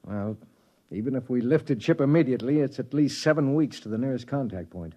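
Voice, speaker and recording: A man's voice: mid-range voice, animated, a little fast, mellow, natural voice. An actor playing a space crewman who narrates his own story in a matter-of-fact way, 1950s radio drama style. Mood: grim. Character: male space crewman narrating, steady and dry. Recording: muffled 1950s radio broadcast.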